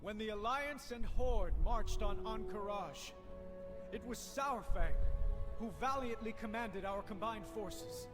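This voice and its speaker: A man playing an earnest, noble young leader in a game cinematic, giving formal, measured speech. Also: high-pitched, loud music behind the voice. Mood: reverent. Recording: loud game score behind voice.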